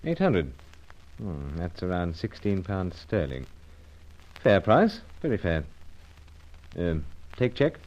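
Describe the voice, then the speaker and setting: An actor playing a suave, sardonic British secret agent with a clipped, dryly nonchalant delivery in radio spy serial style. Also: male voice, low-pitched, big pitch swings, takes it slowly, pauses often, darkish tone, lo-fi sound, full character voice. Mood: probing, suave. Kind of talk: old-time radio spy serial acting.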